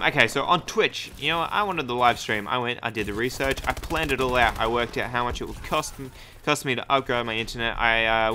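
A man's voice: mid-range voice, sharp tone, a little hiss or hum. A young man giving casual, hyped, rambling commentary over his own gameplay. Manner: hyped, rambling, self-deprecating. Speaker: gaming YouTuber. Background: game gunfire.

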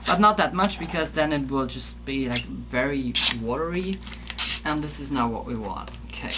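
A woman's voice: extremely low pitch, animated, normal speed. A young woman vlogging casually in her home kitchen, rambling and chatting to her pet bird as she makes lunch. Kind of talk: casual home cooking vlog. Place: small home kitchen, indoors. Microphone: handheld camera. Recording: noisy camera audio.

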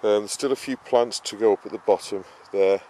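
Man, low-pitched voice, flat intonation, casual gardening explainer, halting unscripted delivery, noisy camera-mic recording. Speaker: gardening vlogger. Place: outdoor vegetable garden and greenhouse. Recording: clearly noisy outdoor ambience, uneven distance.

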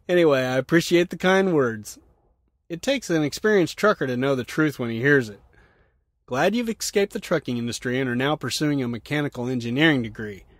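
A man's voice: mid-range voice, no room sound, studio quality. A man hosting a podcast, reading out listener comments and answering them with wry, self-mocking jokes. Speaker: podcast host.

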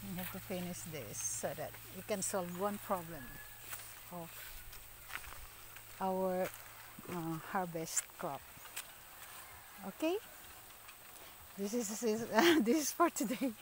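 A middle-aged woman with a Filipino accent talks at an unhurried pace into a phone held at arm's length on a country path. Her voice sits at an ordinary pitch, and she laughs easily between hesitant fillers. Plain outdoor sounds of the countryside carry clearly behind her. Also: animated. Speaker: farm vlogger. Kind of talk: casual vlog narration. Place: outdoors on a rural farm path. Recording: outdoor phone audio with rural noise.

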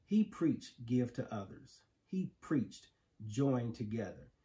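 A middle-aged American man reading a children's picture book aloud with measured, emphatic phrasing. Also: mid-range voice, sing-song, warm tone. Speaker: storybook reader. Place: living room, indoors on a couch. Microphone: camera a few feet away.

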